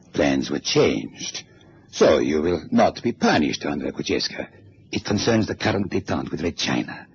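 An actor playing a cold, measured Soviet interrogator in a 1960s radio drama, clipped and coldly polite. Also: male voice, a bass voice, creaky, heavily acted. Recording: dull old radio recording, faint hiss.

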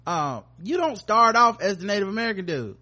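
A man's voice: high voice, sing-song, brisk, pushed and bright. A man hosting a podcast in casual, joking banter with informal, profane language. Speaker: podcast host.

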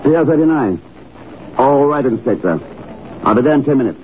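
A man playing a calm, shrewd secret agent in a 1950s radio drama, speaking in a clipped, questioning manner. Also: medium pitch, normal speed, background noise, lightly acted. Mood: cool, probing. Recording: muffled old radio recording, music.

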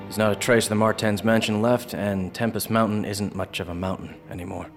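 An actor playing Duclair, a practical war-veteran trooper investigating tunnels in a radio drama. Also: male voice, lowish voice, flat delivery, brisk, lightly acted. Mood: haunted. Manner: grim, practical, rising to panic. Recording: music bed under dialogue.

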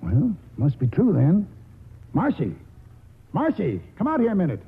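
Blustery old country grandpa, tinny old radio. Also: male voice, high-pitched, wildly swooping pitch, a little slow, slight background noise, full character voice. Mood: gruffly kind. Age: older. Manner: gruff, blustering, rough-spoken. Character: cantankerous, kind-hearted old country grandfather. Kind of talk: 1940s radio acting.

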